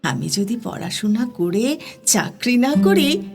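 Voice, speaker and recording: A woman's voice: a little high, big pitch swings, slow pace, gravelly, heavily acted. A woman playing a studious young university student in a recited dramatic dialogue, chiding and affectionate, with a Kolkata Bengali accent. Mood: firm, playful. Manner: teasing, questioning, chiding. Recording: studio voice over guitar music. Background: plucked guitar music.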